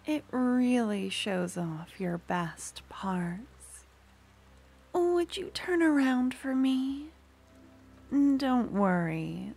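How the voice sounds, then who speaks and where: A woman voicing a possessive, obsessive merchant girl in an ASMR fantasy roleplay, doting and sweetly menacing, often slipping into breathy half-whispers. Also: high voice, darkish tone, full character voice. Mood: adoring, menacing.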